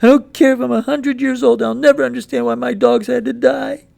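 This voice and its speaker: A man reading a story aloud, voicing a grieving, stubborn young boy with a choked, pleading delivery pushed up high. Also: flat delivery, fairly quick, creaky, a little mellow, full character voice. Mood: defiant.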